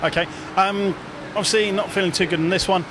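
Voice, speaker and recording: A man's voice: high voice, fairly even intonation, fairly quick. A man interviewing someone after a game for a fan channel, asking casual questions into a handheld microphone. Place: indoor sports hall. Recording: noisy venue with background ambience.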